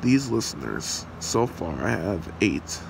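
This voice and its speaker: The obsessed demon you'd meet in a homemade ASMR horror roleplay played by a man, croaking slow, smug taunts over steady hiss. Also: lowish voice, exaggerated voice. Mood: scheming. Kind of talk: amateur ASMR roleplay acting. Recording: steady hiss and hum behind voice.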